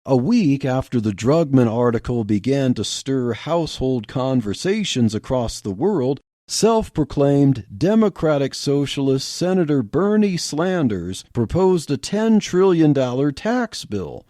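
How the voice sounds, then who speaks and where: A man's voice: medium pitch, sing-song, unhurried, hardly any pauses, warm tone, no echo, studio quality. A man reading a story aloud on his podcast, narrating it expressively.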